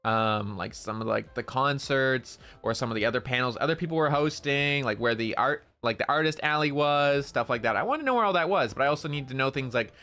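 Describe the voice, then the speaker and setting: Young male streamer, nasal, loud and slangy, bright edge. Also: medium pitch, brisk, hardly any pauses. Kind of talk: casual stream chat.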